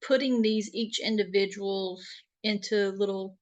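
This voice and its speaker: A woman's voice: mid-range voice, steady tone, a little slow, dull audio. A woman co-hosting a live poetry show, chatting casually in an effusive, appreciative manner. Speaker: co-host of a live poetry event.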